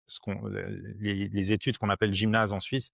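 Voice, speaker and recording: A man in his forties from Switzerland, a podcast guest explaining things simply in conversation, haltingly and with repeated words. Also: on the low side, flat delivery, creaky, no room sound, studio quality. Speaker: podcast guest, crypto educator.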